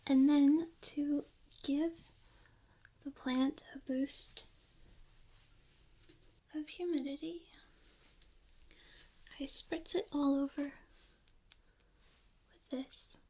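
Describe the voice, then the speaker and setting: A woman speaking softly and whispering in a hushed, gentle ASMR style, giving step-by-step demonstrations into a close microphone. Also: sits high, fairly even intonation, very slow, long pauses, poor audio. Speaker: ASMR host demonstrating plant care in a role play. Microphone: close binaural microphone. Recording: faint background noise, binaural close-miked.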